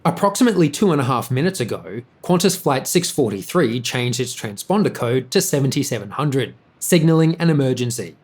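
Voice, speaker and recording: A man reading scripted documentary narration in a measured, factual manner. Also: mid-range voice, animated.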